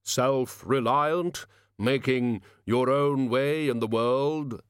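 Male narrator as solemn supernatural being, ponderous slow delivery, formal, earnest, storybook figure, dry recording. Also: mid-range voice, clear, resonant tone, no room sound, voice pushed up, lightly acted.

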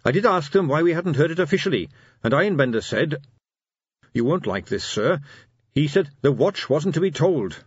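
A man acts an earnest, dutiful captain of a fantasy city watch. He speaks deferentially in a raised, lively voice. The storybook recording is dry and slightly muffled. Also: fairly high pitch, moderate pace, slightly rough, no echo, pitched above the performer's own voice. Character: Captain Carrot, earnest dutiful young police captain.

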